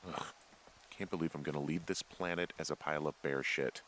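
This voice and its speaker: A man's voice: rumbling low, steady tone, brisk, fairly bright tone, slight background noise. A man with an American accent playing a sardonic, reluctant fire lookout in a video game, in a dry, understated everyday voice. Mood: grumbling, sardonic. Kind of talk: naturalistic video game dialogue.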